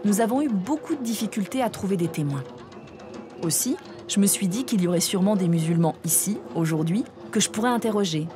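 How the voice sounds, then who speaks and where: A woman reporter narrating and interviewing people at an outdoor event, calm and clear. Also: mid-range voice, fairly quick, noisy background. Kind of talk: documentary narration and street interviews.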